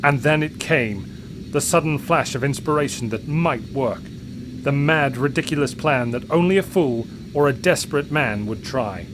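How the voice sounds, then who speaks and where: A man reads a scripted adventure tale as narrator. His delivery is dramatic, at a middle pitch. Clear vehicle-like noise runs behind the voice. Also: moderate pace.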